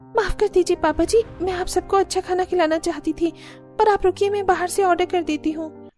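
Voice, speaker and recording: A haughty young wife from a rich family, acted by a woman in a cartoon story. Her voice is very high, whiny and indignant, with faint music behind it. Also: fairly even intonation, normal speed, a little mellow. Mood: hurt, apologetic. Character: haughty, resentful young rich daughter-in-law. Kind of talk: Hindi cartoon story dubbing.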